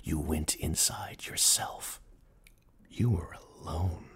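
A man performing a radio play as a merciless, taunting inner narrator, speaking in literary second person with theatrical, accusing emphasis and a husky voice. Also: rumbling low, slow, whispered. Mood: bleak, dramatic.

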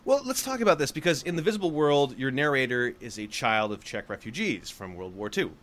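A man's voice: mid-range voice, lively intonation, fairly quick, fairly bright tone. Man asking long, hedged questions. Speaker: interviewer host. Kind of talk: interview questions.

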